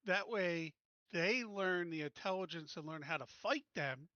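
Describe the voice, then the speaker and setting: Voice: medium pitch, a little slow, sharp tone, no echo, lo-fi sound. A tabletop roleplaying player speaking casually, joking around and stumbling over words.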